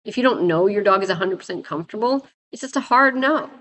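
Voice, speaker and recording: A woman dog trainer, raspy from a head cold, giving blunt, emphatic advice in casual talk to viewers. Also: medium pitch, animated, quick pace, a bit bright. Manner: emphatic, blunt, repeats "right?" and "guys".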